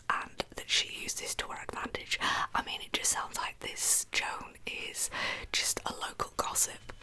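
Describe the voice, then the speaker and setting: A woman whispering a true crime story in a soft-spoken ASMR style, with chatty, conversational asides. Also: very high-pitched, low-quality recording.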